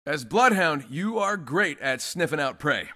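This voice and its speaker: A man's voice: fairly high pitch, sing-song, measured pace, sharp tone, exaggerated voice. An actor voicing a cocky, joking young male combat trainer in a video game tutorial, wisecracking and breezy with theatrical delivery. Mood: coaching. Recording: music behind the voice.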